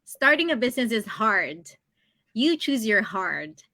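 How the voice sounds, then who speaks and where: Bright, chatty woman in her thirties. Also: fairly high pitch, sing-song, a little slow, no room sound. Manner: chatty, laughs often between thoughts. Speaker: guest, online business coach. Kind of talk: casual interview chat.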